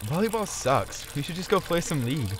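A man's voice: on the high side, sing-song, brisk, background noise. A man playing a snide, bored teenage teammate in a comedic anime parody dub. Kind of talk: comedic fan-dub voice acting.

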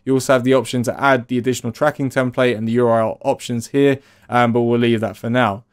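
A man in his twenties with a mid-pitched voice narrates a screen tutorial from his desk. He explains each step casually and conversationally, like an instructor walking a viewer through software. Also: steady tone, fast, clear, resonant tone. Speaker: digital marketing tutorial host. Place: small indoor room at a desk.

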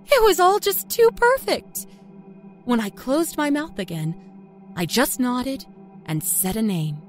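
A woman playing a self-satisfied small-town liar from Kentucky, telling her dark story in a folksy, confiding way. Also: sits high, big pitch swings, slow, exaggerated voice. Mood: sly, gleeful. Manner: folksy, chatty, smugly confiding. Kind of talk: first-person dark storytelling. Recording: music under the narration.

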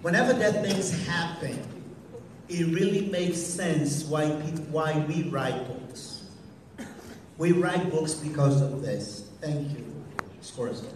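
A man's voice: fairly high pitch, takes it slowly, a little mellow, slight background noise. A man with a Brazilian accent, a Portuguese speaker, hosting a teacher training event on stage, talking with many fillers and restarts. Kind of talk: on-stage talk. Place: large hall, on stage. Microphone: far audience camera. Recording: echoey hall from far off.

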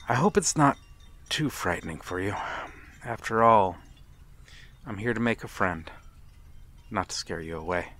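Guy doing a brooding vampire for asmr roleplay, lowish voice, really slow n soft, polite but menacing. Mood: sly, courteous. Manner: slow, soft-spoken, theatrical, dropping into whispers. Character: brooding, courteous, menacing male vampire stranger. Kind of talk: ASMR roleplay, dramatic monologue.

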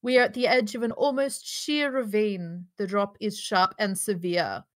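A woman's voice: medium pitch, steady tone, normal speed. A woman reading stage directions as narrator in a live script table read. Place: indoors, on a group video call.

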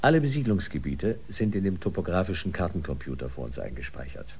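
An actor playing a cool, factual Mars settler who instructs like a guide in a science-fiction radio drama. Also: male voice, low voice, animated, quick pace, creaky, darkish tone, a little hiss or hum. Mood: matter-of-fact.

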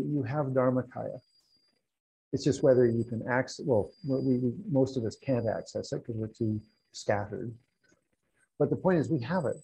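A man's voice: mid-range voice, mellow, husky, poor audio. An older man teaching a Buddhist class from a room at home, explaining at length with restarts and asides, on a lapel mic. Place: room at home with wall hangings.

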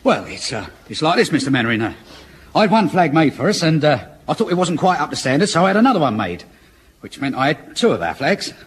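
A cheeky London wheeler-dealer soldier in radio comedy. His high voice bobs up and down with glib, innocent-sounding patter, over faint background noise. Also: big pitch swings, fast talker. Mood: feigned innocence. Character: cheeky wheeler-dealer private, a sly spiv. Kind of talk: 1970s British radio sitcom acting.

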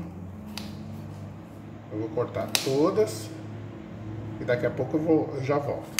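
A man narrates his home cooking, talking through each step in a homely way with a middle-pitched voice. The kitchen sounds echoey, and loud clatter of pots and cutlery runs behind him. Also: slight background noise. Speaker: home cook, husband of the channel host. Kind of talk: casual cooking vlog narration. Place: home kitchen, overhead camera on the counter.

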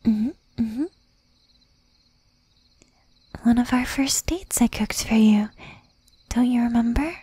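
A woman acting as a jealous, doting young demon girlfriend in a soft, breathy ASMR whisper. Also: fairly high pitch, dry recording. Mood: shy, doting. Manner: slow, intimate, menacing yet doting. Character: jealous, possessive young female demon girlfriend, shy, tender.

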